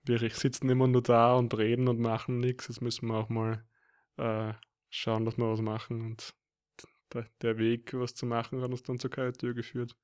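A man's voice: mid-range voice, completely flat delivery, normal speed, darkish tone, clear, resonant tone. A man, rambling casually with many fillers. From Upper Austria. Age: 20s. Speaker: student co-host. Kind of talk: casual podcast chat.

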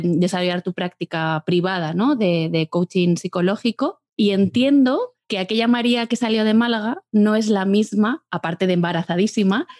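A woman's voice: lowish voice, darkish tone, no room sound, clean studio sound. A woman hosting an interview, attentively summarizing her guest's story before asking prepared questions. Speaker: interviewer host.

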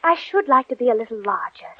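High polite curious little girl talking to herself, muffled old radio. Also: sing-song, fast talker. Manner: polite, wondering, talks to herself. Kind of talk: 1940s radio acting. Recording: dull old radio recording.

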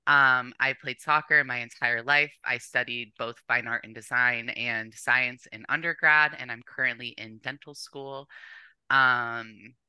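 A young adult woman with a North American accent, telling personal stories as an informal, animated, slangy podcast guest. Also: very deep voice, measured pace, clean tone, dry recording, poor audio. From born or raised in Canada, later the United States. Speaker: podcast guest, competitive bodybuilder. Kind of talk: casual chat, personal storytelling.

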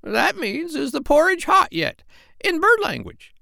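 A man voicing a bossy, clever female parrot in a shrill, high voice for a children's storybook. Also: huge pitch swings, unhurried, creaky, pushed and bright, no echo, studio quality, full character voice. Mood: instructive. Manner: emphatic, instructing.

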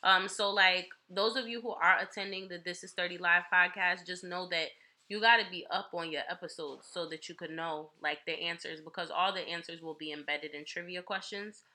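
A woman's voice: lowish voice, steady tone, brisk, pushed and bright. A woman hosting a podcast in casual chat, a bit congested, rambling and giggly with lots of fillers. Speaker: podcast host.

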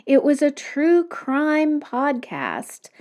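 Slow, gentle woman podcast host. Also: sits high, very animated. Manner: gentle, conversational and unhurried. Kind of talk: solo podcast talk. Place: cottage in the woods.

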